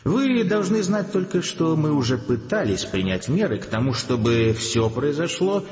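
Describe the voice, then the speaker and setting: An actor playing a pompous emissary of an alien civilization in a radio play, speaking in formal, stilted officialese. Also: male voice, fairly high pitch, sing-song, normal speed, darkish tone. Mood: stern, official. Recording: echoey hall, long reverb, dull sound.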